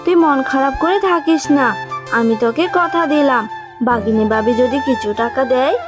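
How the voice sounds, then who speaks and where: Woman voicing a high-pitched gentle fox mother. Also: normal speed, over music, close to an everyday voice. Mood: soothing, weary. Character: poor widowed fox mother, gentle and anxious. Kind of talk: children's cartoon dubbing.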